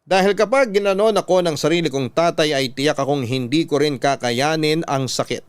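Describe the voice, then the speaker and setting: A man's voice: fairly high pitch, moderate pace, clear, resonant tone, no echo. A man narrating a scripted, dramatic first-person love story as a radio storyteller. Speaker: narrator reading a letter sender's story. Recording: dry studio voice over music.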